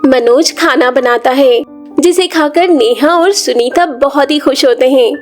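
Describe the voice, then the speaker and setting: Female narrator for animated children's folk tales, high register, storytelling read over faint background music. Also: unhurried.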